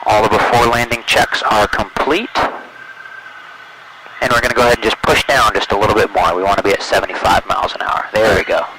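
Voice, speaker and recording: A man's voice: on the low side, fairly even intonation, fairly quick. Encouraging male flight instructor, noisy cockpit. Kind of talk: calm step-by-step flying instruction with radio calls. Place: small airplane cockpit in flight. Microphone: aviation headset. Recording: loud aircraft cabin noise, intercom audio.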